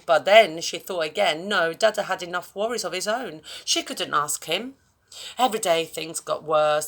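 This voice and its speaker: Woman reading a children's story, creaky low voice. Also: slight background noise. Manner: expressive, addressing young listeners directly. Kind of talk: read-aloud children's story with chatty asides.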